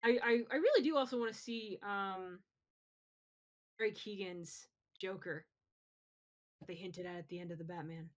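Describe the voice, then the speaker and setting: Female podcast co-host, middle pitch, casual chat, conversational, opinionated. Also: normal speed, long pauses. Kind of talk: casual pop-culture chat.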